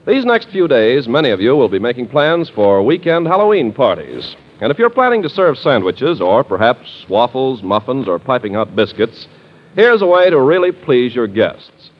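A man reading scripted copy as a polished, salesmanlike 1940s radio announcer. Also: on the high side. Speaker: radio announcer and sponsor spokesman. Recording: muffled 1940s radio recording with music.

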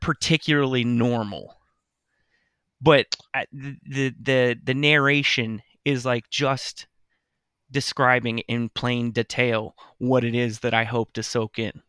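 A man's voice: medium pitch, fairly even intonation, slow pace, a bit bright, smooth, dry recording, clean studio sound. A middle-aged man, a podcast co-host, chatting casually in a reflective, earnest way. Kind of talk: casual chat.

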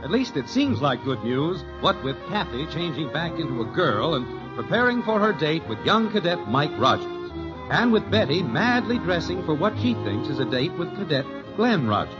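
A genial man narrates a vintage radio show. His voice is slightly high and very animated. It is a muffled old broadcast with music clearly underneath. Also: normal speed. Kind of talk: scripted 1950s radio narration. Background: clear background music.

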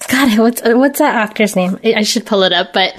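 Woman with a mid-pitched voice, podcast co-host. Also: normal speed. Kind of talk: casual chat about pop culture.

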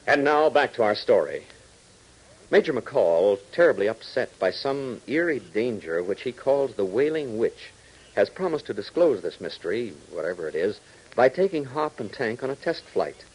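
Husky, animated 1940s radio announcer man. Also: mid-range voice, normal speed, low-quality recording. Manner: dramatic, emphatic announcer delivery. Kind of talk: 1940s radio scripted narration.

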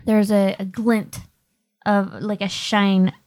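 A woman's voice: mid-range voice, flat delivery, takes it slowly, a little mellow, no echo. A woman narrating a tabletop game as game master, improvising in a casual, conversational way.

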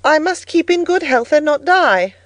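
A woman's voice: high voice, lively intonation, normal speed, no echo, voice pushed up. A woman voicing a timid but frank ten-year-old girl who answers politely and plainly in an audiobook story. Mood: timid, matter-of-fact.

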